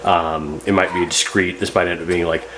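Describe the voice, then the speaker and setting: A man's voice: low-pitched, level tone, moderate pace, noisy background. A man hosting a repair video, narrating casually and thinking aloud as he works in a loose, rambling way. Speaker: host of a radio repair channel.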